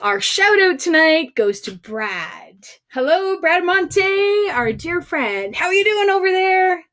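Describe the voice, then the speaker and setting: A woman with a high voice tells stories to young children at a slow, deliberate pace. She is playful and very expressive, talking straight to her little listeners as the host of a read-aloud. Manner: playful, exaggerated expression, addressing children directly. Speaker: children's storyteller and singer hosting a livestream.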